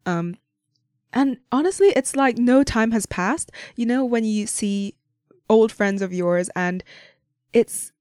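A woman's voice: fairly high pitch, takes it slowly, darkish tone, dry recording, clean studio sound. A young woman from Hong Kong hosting a casual, unscripted podcast, rambling cheerfully with lots of fillers and frequent little laughs. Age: young adult. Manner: rambling, chatty, frequent "like" and "you know", laughs often. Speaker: podcast host.

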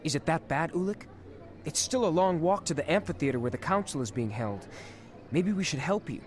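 An actor playing an eager, caring younger Jedi brother in an audio drama, earnest and boyish. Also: male voice, on the high side, lively intonation, a little fast, close to an everyday voice. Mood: earnest, concerned.

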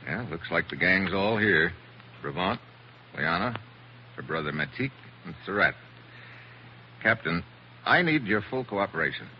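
Low-voiced, hard-boiled American agent, old radio. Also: male voice, lively intonation, measured pace, long pauses. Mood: probing. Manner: hard-boiled, terse, wry. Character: tough, wry American special agent, coolly commanding. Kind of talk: 1940s radio drama acting. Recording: muffled, hissy 1940s radio recording.

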